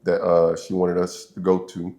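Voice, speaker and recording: A young man with a very deep voice hosts a casual podcast from a college dorm. He talks in easygoing slang and breaks into laughter while telling stories. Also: completely flat delivery, moderate pace, warm tone. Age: young adult. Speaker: podcast host. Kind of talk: casual chat.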